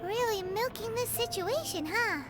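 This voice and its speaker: A woman voicing a tiny, bossy, childlike fairy guide with a squeaky, pert delivery in a video game. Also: moderate pace, loud music behind the voice. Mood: scolding. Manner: refers to herself in third person, pert.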